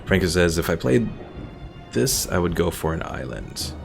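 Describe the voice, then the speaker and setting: A man's voice: low-pitched, very animated, normal speed, gravelly, over music. A man giving casual, easygoing live game commentary, thinking aloud and reading out chat as a streamer.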